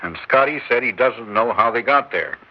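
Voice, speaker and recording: An actor playing a stern chief who gives clipped orders, in a 1960s radio drama. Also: male voice, mid-range voice, animated, moderate pace, close to an everyday voice. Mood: brisk. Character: stern intelligence chief, brisk and commanding. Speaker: chief of an agency. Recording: muffled old radio broadcast.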